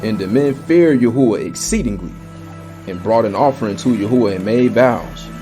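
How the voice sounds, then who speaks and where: A man's voice: fairly low pitch, normal speed, warm tone. A man narrating scripture in a dramatized, scripted style. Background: music.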